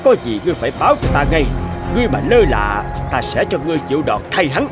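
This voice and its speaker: A man's voice: on the high side, very animated, fast, loud music behind the voice. Man acting a scornful, scheming rich landlord. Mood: commanding. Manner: mocking, commanding, threatening. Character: arrogant, scheming rich older male landlord, menacing father. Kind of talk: dubbed folktale cartoon acting.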